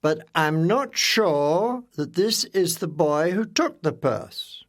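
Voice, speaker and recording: A man voicing a kind elderly gentleman, a retired lawyer, speaking gently and with concern. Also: on the high side, lively intonation, takes it slowly, echoey room, big-room sound, pitched above the performer's own voice.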